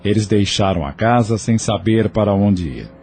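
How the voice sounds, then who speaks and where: A male narrator with a Brazilian Portuguese accent tells the story in a low, mellow voice. His delivery is measured yet lively in pitch, and soft music plays under him. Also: vocal fry, a little hiss or hum. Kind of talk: scripted audio drama narration.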